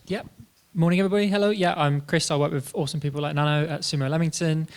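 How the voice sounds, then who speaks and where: A man introducing himself on a panel, jokey and relaxed. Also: on the high side, fast talker. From Devon, England. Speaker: panelist, community manager. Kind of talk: panel talk to an audience.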